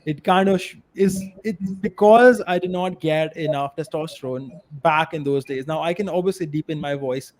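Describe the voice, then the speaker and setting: A man from India with a fairly high voice, a podcast host chatting casually and filling his talk with "you know" and "like". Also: normal speed, few pauses, darkish tone. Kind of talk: casual interview conversation.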